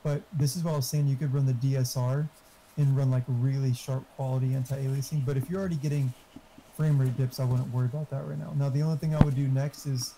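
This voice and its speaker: A man's voice: mid-range voice, level tone, quick pace, mellow, a little hiss or hum, poor audio. A man chatting casually, explaining tech settings in an informal, mildly profane way. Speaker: friend giving tech advice. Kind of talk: casual chat.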